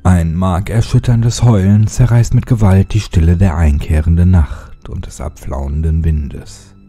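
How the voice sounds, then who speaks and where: Male narrator for creepy audiobook channel, deep register, husky and heavily mellow, unhurried. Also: soft background music. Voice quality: husky, dark, low. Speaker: horror story narrator and channel host. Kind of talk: casual channel address, then dramatic horror audiobook narration.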